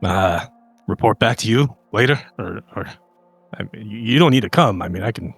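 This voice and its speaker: A man's voice: lowish voice, lively intonation, moderate pace, slightly rough, no echo. A man playing a hesitant, deferential covert agent in a tabletop horror game, stammering and tentative. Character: hesitant, deferential male agent eager to tail targets.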